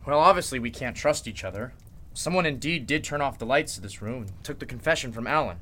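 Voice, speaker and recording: An actor playing a commanding, steely lawyer who takes charge in a radio mystery, firm and authoritative. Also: male voice, medium pitch, lively intonation, fast, fairly bright tone, slight background noise, lightly acted. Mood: firm, threatening. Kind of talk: radio mystery drama in Golden Age manner.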